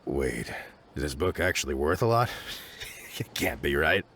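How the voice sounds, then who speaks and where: A man's voice: very deep voice, very animated, normal speed, fairly bright tone, slight background noise, heavily acted. A man voicing an unassuming secondhand seller in a video game, speaking casually and hesitantly. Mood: sheepish, surprised. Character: unassuming, hesitant male secondhand market seller, mild and uncertain.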